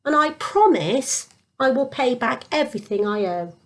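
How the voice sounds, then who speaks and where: A woman's voice: high voice, animated, normal speed. A woman voicing a fearful, indebted male servant begging in a children's Bible story. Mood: desperate pleading. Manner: pleading, beseeching.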